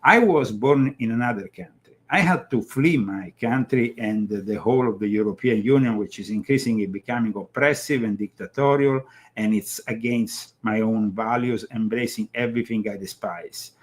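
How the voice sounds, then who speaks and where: A man from Italy with an Italian accent, hosting a political commentary show, speaking emphatically but halting often with fillers and restarts. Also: on the low side. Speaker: show host.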